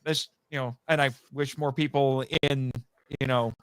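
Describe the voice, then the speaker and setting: A man chatting casually as a podcast guest, animated, often interrupting himself and thinking out loud. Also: medium pitch, moderate pace, clean tone, no echo, low-quality recording. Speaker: guest, audio drama writer and voice actor. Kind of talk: casual fan chat.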